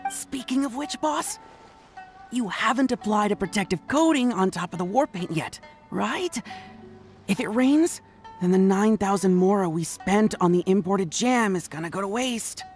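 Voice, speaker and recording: A practical young-gang underling from a video game, played by a woman, speaking at medium pitch. She sounds cautious and matter-of-fact, with faint music behind her. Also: lively intonation, normal speed, close to an everyday voice. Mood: concerned. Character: practical, level-headed female gang subordinate, cautious. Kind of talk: video game character acting.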